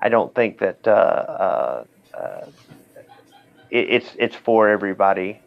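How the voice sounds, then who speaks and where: A man's voice: fairly low pitch, big pitch swings, measured pace, vocal fry. A man talking casually in a blunt, plainspoken way, heard through a dull lo-fi connection. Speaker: military veteran guest. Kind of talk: casual conversation.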